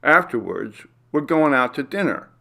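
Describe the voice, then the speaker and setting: A man's voice: medium pitch, big pitch swings, moderate pace, vocal fry, bright, forceful tone, voice pushed up, full character voice. A man voicing an affectionate, easygoing husband and father in a storybook reading. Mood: cheerful.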